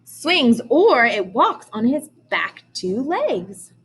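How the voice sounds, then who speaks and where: A woman's voice: fairly high pitch, very animated, slow pace, a bit bright, slight background noise. A woman teacher reading aloud to young children, explaining and asking questions in simple, emphatic language.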